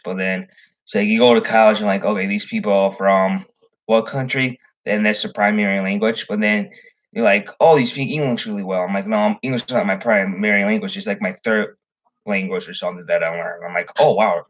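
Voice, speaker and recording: A young man from the Chicago area hosts a casual fishing livestream. His slightly low voice wanders through ums and restarts. The stream audio is dull and lo-fi. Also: fairly quick, a bit bright. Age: young adult. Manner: rambling, full of ums and restarts. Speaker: fishing channel host.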